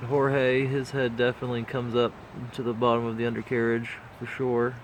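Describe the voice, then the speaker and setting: A man's voice: medium pitch, level tone, normal speed, a little hiss or hum, rough recording. A man with a stuffed-up nose, talking casually and rambling with lots of fillers. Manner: laid-back, rambling with many fillers. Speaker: diecast model collector vlogger. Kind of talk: casual walkthrough talk.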